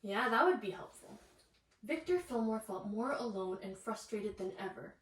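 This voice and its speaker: A woman reading a children's bedtime story aloud in an animated way, with asides to the young listeners. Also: medium pitch, normal speed. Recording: echoey room, distant mic, faint noise.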